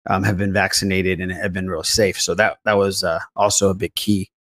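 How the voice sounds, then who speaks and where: A man's voice: deep voice, little pitch movement, brisk, fairly bright tone. Man hosting a casual podcast chat. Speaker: podcast host.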